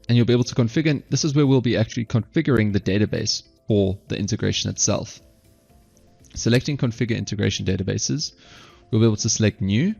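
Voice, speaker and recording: A man narrating an instructional software screencast step by step, halting at times and correcting himself. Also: lowish voice, vocal fry, lo-fi sound. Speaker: software tutorial presenter.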